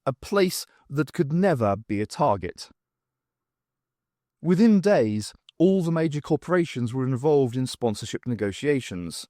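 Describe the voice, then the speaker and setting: A man reading a science fiction audiobook as narrator, with measured storytelling and deliberate phrasing. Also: medium pitch, expressive, mellow, dry recording, clean studio sound.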